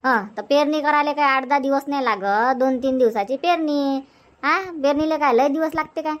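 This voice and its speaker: A high-pitched, fussy village mother acted by a woman for a Marathi comedy cartoon. She has a Vidarbha accent and nags in a chatty, repetitive way, pressing her point insistently. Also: normal speed, close to an everyday voice. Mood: persuading. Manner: chatty, nagging, repeats herself. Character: fussy, insistent middle-aged village mother urging daughter to stay. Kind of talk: homemade comedy cartoon dubbing.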